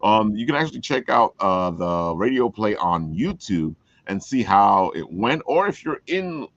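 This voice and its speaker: A man's voice: lowish voice, very animated, measured pace, fairly bright tone, no room sound, lo-fi sound. A man hosting a casual promotional chat, talking in a folksy way and repeating phrases for emphasis. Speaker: show host.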